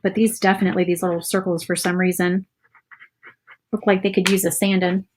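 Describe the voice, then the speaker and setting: A woman's voice: lowish voice, little pitch movement, fairly quick, noisy background. A woman in her thirties from Virginia with a light Southern accent, chatting casually while painting a craft project and answering viewers. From Virginia, USA. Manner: chatty, friendly, thinks aloud while working. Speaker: craft vlogger. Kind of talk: casual chat while painting, reading live comments. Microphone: phone or camera close by.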